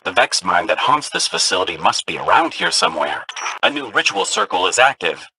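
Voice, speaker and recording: A man's voice: sits low, very animated, fairly quick, noisy background. A man voicing a timid, earnest little robot companion in a video game, telling things in a dramatic, fretful way.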